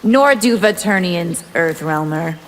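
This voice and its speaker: A woman's voice: lowish voice, expressive, takes it slowly, poor audio. A woman playing a haughty, hungry vampire from another realm, cold and seductive in a video game. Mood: cold menace. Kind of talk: video game fight intro banter. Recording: game audio with background noise.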